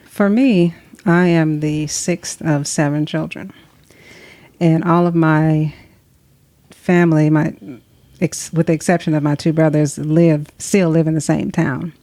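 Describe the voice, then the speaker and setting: Middle-aged woman guest, low even voice, thoughtful and unhurried, dry close sound. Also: no echo. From Tennessee, US. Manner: reflective, soft-spoken with pauses. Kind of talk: conversational interview. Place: recording session in Atlanta.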